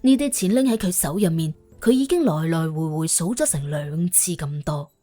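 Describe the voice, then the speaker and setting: A woman narrating an audiobook in an animated, colloquial storytelling style with a wry, expressive manner. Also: deep voice, normal speed, faint music behind.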